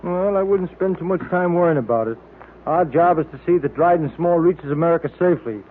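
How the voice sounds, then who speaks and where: An actor playing a breezy, plain-spoken detective's sidekick, slangy and informal, in old-time radio drama style. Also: male voice, fairly high pitch, brisk, close to an everyday voice. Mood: doubtful, uneasy. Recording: tinny, hissy old-time radio recording.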